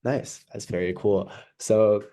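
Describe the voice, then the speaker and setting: Deep-voiced rambling male interviewer. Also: fairly even intonation, slow pace, warm tone. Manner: rambling questions with repeated starts. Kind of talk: casual interview questions.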